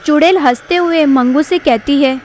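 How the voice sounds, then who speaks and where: A woman's voice: sits high, normal speed, darkish tone, a little hiss or hum. A woman narrating a scripted horror story. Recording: background music.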